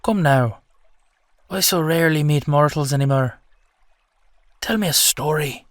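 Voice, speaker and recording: A man's voice: on the high side, measured pace, no room sound, clean studio sound, lightly acted. Whimsical storyteller salmon-man, acted by a man. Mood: friendly, inviting. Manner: chatty, colloquial, playfully indignant. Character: whimsical, boastful ancient salmon-man, storyteller of Irish myth. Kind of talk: audio roleplay storytelling addressed to the listener.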